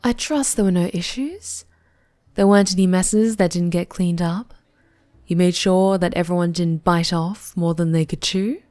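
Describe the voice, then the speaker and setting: A woman's voice: fairly low pitch, moderate pace, a little mellow, lightly acted. A woman playing a poised, flirtatious, wealthy vampire on a date in an audio roleplay, with suave, formal phrasing. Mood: polite, veiled menace.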